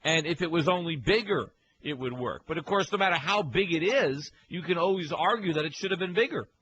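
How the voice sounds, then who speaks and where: A man hosting a call-in radio show from a home studio, talking in a quick, chatty way with many fillers and repeats. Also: on the high side, animated, a bit bright, husky, dull audio. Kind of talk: casual call-in talk radio.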